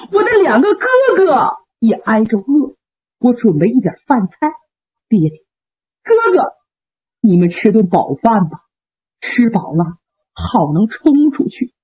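A woman's voice: sing-song, moderate pace, husky, full character voice. A woman voicing a young male warrior who pleads with his father through tears, heard through a tinny radio recording. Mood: pleading. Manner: weeping, pleading, high-strung.

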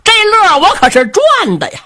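A woman voicing a boisterous, carefree middle-aged male rebel king who talks loud and brash and laughs a lot. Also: very high-pitched, wildly swooping pitch, normal speed, voice pushed up, full character voice. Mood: jovial.

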